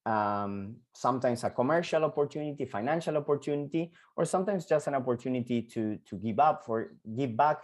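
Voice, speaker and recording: A man in his thirties from Argentina with an Argentine Spanish accent, giving an online entrepreneurship lesson and often repeating words and filling pauses. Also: mid-range voice, a little mellow. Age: 30s. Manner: halting, frequent repeated words and fillers. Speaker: entrepreneurship coach. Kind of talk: online lecture to students.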